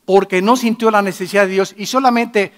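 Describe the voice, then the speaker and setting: Emphatic pastor with high voice. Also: male voice, fairly even intonation, brisk. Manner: emphatic, swings between loud and hushed. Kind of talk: sermon.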